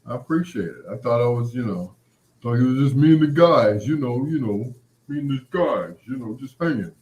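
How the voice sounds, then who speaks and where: A man's voice: mid-range voice, expressive, moderate pace, darkish tone, muffled recording. A man hosting a late-night show, talking in a casual, rambling, conversational monologue with anecdotes. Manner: conversational, anecdotal, quoting past exchanges. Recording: roomy, echoing sound.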